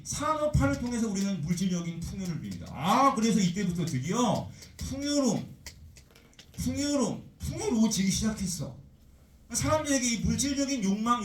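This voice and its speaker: A male teacher lectures in a chatty, animated way, tossing rhetorical questions to his class. His voice is high and swings widely in pitch. The sound is roomy and heard from a little distance.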